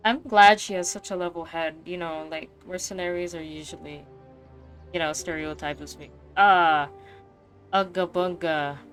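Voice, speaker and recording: A woman doing casual, expressive, reactive commentary as she plays a video game. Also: lowish voice, normal speed, faint music behind.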